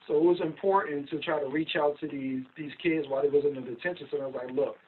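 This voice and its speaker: A man's voice: a little high, slight background noise. Middle-aged guy from philly telling his story, tinny phone-like sound, mic kinda far off. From Philadelphia, US. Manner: steady, measured storytelling with frequent ums. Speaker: guest, investigation company owner. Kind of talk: casual interview over a call.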